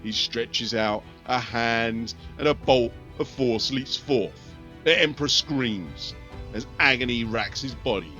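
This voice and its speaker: A male narrator reads a dark sci-fi war tale in a grave, measured way. His voice is bright and clear. Faint music plays behind him. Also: mid-range voice, dull audio.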